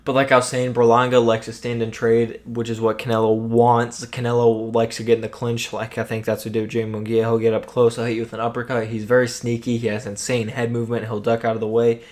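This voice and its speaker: A man's voice: medium pitch, completely flat delivery, brisk, a little mellow. A young man casually giving his boxing fight prediction, rambling with many fillers while his voice keeps cracking. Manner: rambling, many ums and you knows. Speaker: boxing commentator. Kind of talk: casual solo prediction talk.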